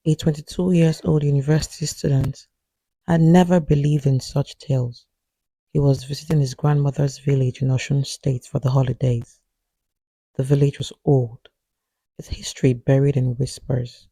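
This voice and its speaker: A man tells a scary folktale in a very dark, mellow voice with a husky grain. He reads as a storyteller, grave and suspenseful, taking his time over every phrase. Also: mid-range voice, no echo. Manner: slow, grave, suspenseful delivery. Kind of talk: scripted narration of a horror folktale.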